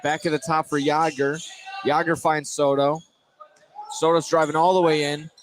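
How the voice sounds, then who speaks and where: A man's voice: a little high, flat delivery, normal speed. A man doing informal live play-by-play basketball commentary, sometimes stumbling and correcting himself. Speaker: play-by-play sports announcer. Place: indoor basketball gym. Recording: noisy live gym broadcast.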